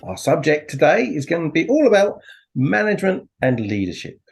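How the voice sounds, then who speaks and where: A man's voice: moderate pace, gravelly. A man hosting a business coaching podcast, opening the show in an upbeat presenting manner. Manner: lively, upbeat presenting with wide pitch swings. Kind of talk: scripted podcast intro. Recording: faint guitar music behind. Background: faint plucked guitar music.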